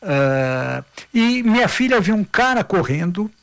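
A man's voice: sits high, unhurried, vocal fry, no echo. A Brazilian man in his fifties, a marathon runner, telling stories animatedly in a casual interview. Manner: animated, acts out quoted voices.

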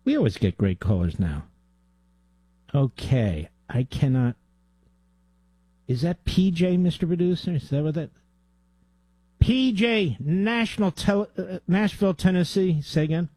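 A man's voice: on the high side, animated, a little slow, long pauses, mellow, slightly rough, no room sound. A man hosting a call-in radio talk show, blunt and emphatic, often cutting in on his callers.

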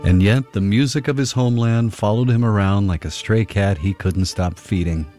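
A man's voice: fairly low pitch, normal speed, mellow, soft background music. A man hosting classical radio, giving a wry, conversational scripted introduction to a piece.

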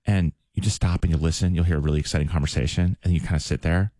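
A man hosting a morning radio show, chatting playfully with a big booming voice. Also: fairly even intonation, racing, warm tone, dry recording, studio quality. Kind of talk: casual morning radio banter. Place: radio studio.

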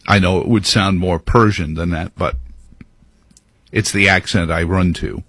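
A man's voice: low voice, fairly even intonation, measured pace, fairly bright tone, slightly rough, no echo. A man hosting a talk radio show, giving a rambling, opinionated monologue full of fillers and restarts.

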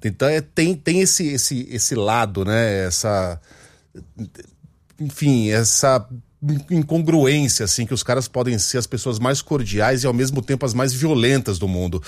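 A man's voice: medium pitch, animated, measured pace, no room sound. Joking male studio host, dry sound. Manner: joking, rambling questions. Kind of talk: casual chat.

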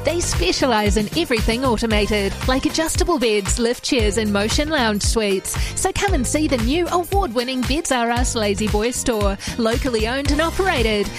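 A woman's voice: a little high, animated, normal speed. A woman reading a scripted radio advertisement in an upbeat sales tone. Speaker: radio ad voice-over. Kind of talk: scripted ad read. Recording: voice over music bed.

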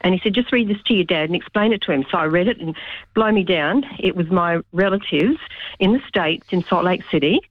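A woman's voice: on the low side, lively intonation, a little fast, no echo. Female caller, rambling, chatty, muffled phone line. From Greece. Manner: rambling, chatty, many ums. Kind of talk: casual phone-in chat.

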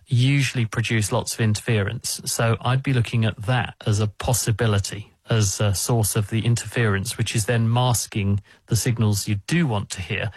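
Man for a radio phone-in expert, steady explainer, mid-pitched voice, dry studio sound. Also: husky, dry recording. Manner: calm, methodical, explanatory. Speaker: science expert answering callers.

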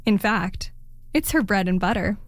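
A woman's voice: mid-range voice, lively intonation, moderate pace, dry recording. Woman hosting a dry studio interview. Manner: friendly, uses filler "like" in questions. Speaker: radio show host. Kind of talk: interview, scripted intro then chat.

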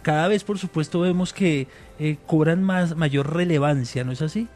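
A man asking formal interview questions in a deliberate way. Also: a little high, darkish tone, soft background music.

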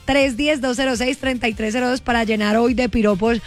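A woman hosting a radio show, teasing and bantering in a lively, quick way. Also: fairly high pitch, faint music behind. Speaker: radio host.

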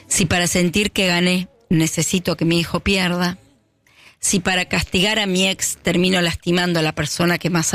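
Gravelly high-voiced Argentine radio host. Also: male voice, a bit bright. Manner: measured, emphatic pauses. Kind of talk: reflective radio monologue. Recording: radio broadcast with music beneath.

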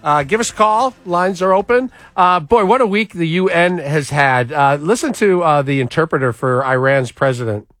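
A man's voice: on the high side, expressive, moderate pace, few pauses, fairly bright tone, no room sound. A man hosting a call-in talk radio show, speaking loosely with frequent "uh" fillers.

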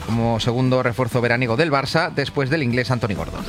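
A man's voice: medium pitch, quick pace, soft background music. A man with an accent from Spain working as a radio sports presenter in a studio, reporting sports news and chatting.

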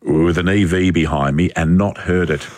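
A man's voice: deep voice, fairly even intonation, normal speed, no echo. A middle-aged man reading radio news headlines casually, wry and hesitant with fillers.

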